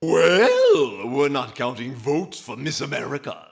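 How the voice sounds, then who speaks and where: A man playing a snarky, officious tax collector in 1940s radio comedy style, with smug, drawn-out phrasing. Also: medium pitch, huge pitch swings, creaky, exaggerated voice.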